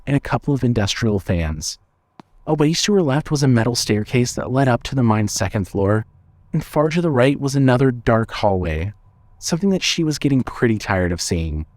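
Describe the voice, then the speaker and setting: A man narrating a scripted suspense story in a measured, dramatic storytelling manner. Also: medium pitch, a little mellow, dry recording.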